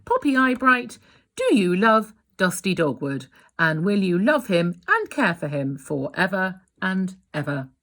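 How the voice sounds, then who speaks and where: A woman voicing a kindly old male vole who performs a wedding, speaking solemnly and warmly. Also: mid-range voice, very animated, unhurried.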